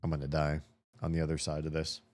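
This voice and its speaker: A young man giving casual, profane running commentary while playing a video game, his voice slightly hoarse. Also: extremely low pitch, completely flat delivery, fast, a little mellow, dry recording. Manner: chatty, swears a lot, talks to himself while playing.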